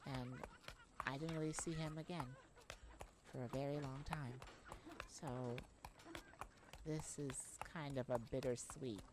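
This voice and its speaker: A brave, worried young princess, acted by a woman, speaks slowly and gently in an ASMR roleplay. Her voice is on the low side, earnest and hesitant, over clearly audible noise. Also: fairly even intonation. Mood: wistful, uneasy. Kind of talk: ASMR audio roleplay to the listener.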